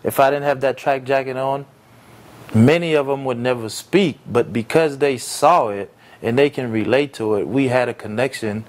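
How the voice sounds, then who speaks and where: A young man born in Haiti and raised in America, answering interview questions in an earnest, reflective way with frequent pauses and fillers. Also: mid-range voice. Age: young adult. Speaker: entrepreneur interviewee.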